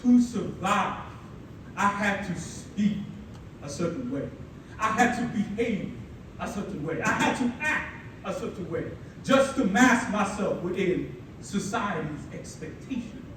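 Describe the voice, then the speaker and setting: A young man from the Philippines, a native Tagalog speaker, giving a ceremonial keynote speech with energetic, playful storytelling and dramatic pauses. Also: high-pitched. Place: large hall at a ceremony. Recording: echoey hall, mic far off, audible room noise.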